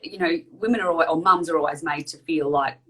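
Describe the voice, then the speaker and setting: A woman in her thirties hosting a casual live video chat, chatty and quick, with lots of fillers. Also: deep voice. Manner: chatty, talks fast with many fillers. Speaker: host.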